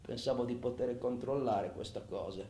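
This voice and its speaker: An actor playing a tormented, volatile criminal in a theatrical monologue, foul-mouthed and swinging between muttering and outbursts. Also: male voice, medium pitch, moderate pace, mellow, close to an everyday voice. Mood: anguished. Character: tormented, volatile criminal man, taunting and cursing himself. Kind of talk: theatrical monologue, radio stage drama. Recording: roomy echo, faint background noise.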